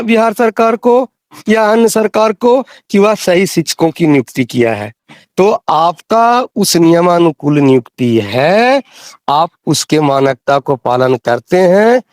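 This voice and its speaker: A man speaks in a fairly high voice. He explains things insistently, repeating phrases for emphasis. The recorded call sounds dry, with little echo. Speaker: interviewee explaining teacher rules. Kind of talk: explanatory phone discussion.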